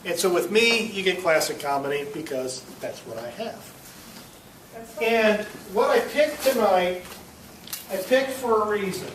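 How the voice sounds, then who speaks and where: A man's voice: high voice, lively intonation, measured pace, noisy background. A man presenting informally to a club, with a wry, joking manner. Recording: echoey room, distant mic, crowd murmur.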